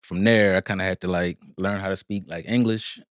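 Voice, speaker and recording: Arabic-native man, podcast guest, low voice, relaxed rambling delivery, dry close-miked sound. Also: a little fast, no room sound. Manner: laid-back, rambling with many fillers. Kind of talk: casual interview chat.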